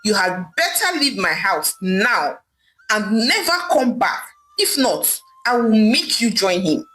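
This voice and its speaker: A woman's voice: medium pitch, sing-song, slow, a bit bright, low-quality recording. A woman with a Nigerian accent voicing a stern, vengeful young woman who scolds and defies an older mother. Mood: threatening. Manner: scolding, defiant.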